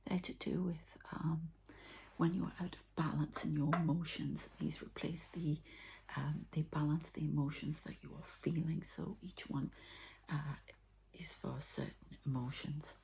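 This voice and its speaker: A woman's voice: low-pitched, fairly even intonation, measured pace, vocal fry, warm tone, slightly rough, low-quality recording. A woman whispering breathily in an ASMR style, explaining things informally step by step with some hesitation. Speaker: ASMR host and energy healer.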